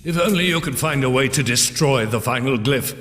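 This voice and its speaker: A man playing a grave, measured scholar of a secret order in a video game, speaking formally. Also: medium pitch, fairly bright tone, slight background noise, lightly acted. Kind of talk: video game cutscene acting. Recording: hall reverb with music.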